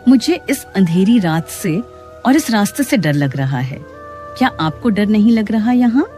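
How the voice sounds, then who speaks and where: A woman's voice: medium pitch, big pitch swings, unhurried, dark tone, soft background music. A woman voicing a frightened, pleading young woman stranded at night in a cartoon. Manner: fearful, pleading. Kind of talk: Hindi cartoon dialogue.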